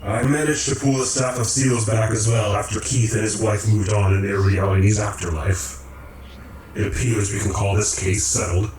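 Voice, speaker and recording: A man in a radio drama playing a calm, commanding creator of realities who speaks in measured, formal, cryptic phrases. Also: lowish voice, crowd noise, close to an everyday voice. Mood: calm, authoritative. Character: calm, commanding cosmic creator, male, cryptic philosophical overseer. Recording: roomy echo, distant, music underneath.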